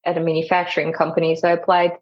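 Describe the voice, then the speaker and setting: A woman speaks as an interview guest in a conversational, storytelling way. Her voice sits a little low. The recording is slightly dull and lo-fi. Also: monotone, rapid-fire. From Kazakhstan and Germany. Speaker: company founder and CEO, interview guest.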